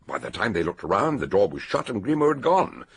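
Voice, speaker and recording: An eccentric old British detective from a radio mystery. His voice is deep and thoroughly rough, full of fry and husk. He explains deliberately and theatrically, with lively rises and falls. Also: heavily acted. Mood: dramatic, relishing. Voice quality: gravelly, husky. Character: eccentric older male detective expounding a murder solution. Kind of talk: radio detective drama acting.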